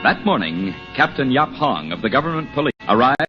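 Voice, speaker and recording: A man's voice: medium pitch, very animated, a little fast. A man announcing and narrating in scripted 1940s radio style, dramatic and emphatic. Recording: muffled old radio recording, music behind. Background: music.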